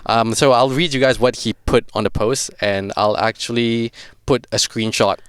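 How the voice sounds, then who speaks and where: A young man with a Singaporean accent hosting a podcast in relaxed, rambling casual chat, full of fillers. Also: medium pitch, normal speed, smooth, no echo. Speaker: podcast host.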